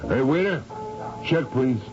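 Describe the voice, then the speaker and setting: A man's voice: mid-range voice, lively intonation, unhurried, lightly acted. A man acting a tough, wary, streetwise crook in a 1970s radio drama, speaking in curt, slangy phrases. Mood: casual, offhand. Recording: muffled old radio recording, loud music.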